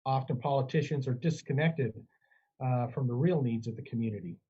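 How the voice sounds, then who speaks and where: A man's voice: medium pitch, brisk, dark tone, husky, lo-fi sound. A man moderating a debate, reading audience questions aloud in a plain manner.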